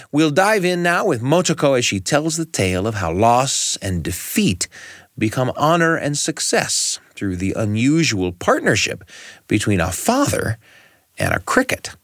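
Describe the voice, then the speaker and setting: A man hosts a storytelling programme in a polished, measured way. His pitch moves around in an animated fashion, and the sound is dry and close, as in a studio. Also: no echo. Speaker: radio storytelling show host. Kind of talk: scripted narration introducing stories. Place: radio studio.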